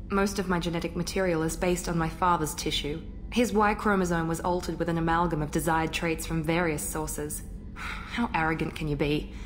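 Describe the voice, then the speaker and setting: An actress playing a cool, proud human woman operative in a sci-fi video game, measured and frank. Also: fairly low pitch, a little hiss or hum, lightly acted.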